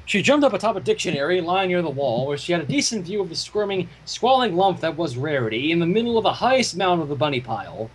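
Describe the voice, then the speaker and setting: A man giving an expressive, storybook-style narration as he reads fan fiction aloud. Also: on the high side, quick pace, hardly any pauses, husky, poor audio.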